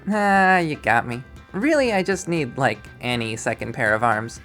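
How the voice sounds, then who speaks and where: A man's voice: medium pitch, very animated, normal speed, fairly bright tone, soft background music. A man voicing a playful, blunt young college woman in a visual novel, with a teasing, slangy manner. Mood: coaxing. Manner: teasing, slangy, hesitant when asking favours.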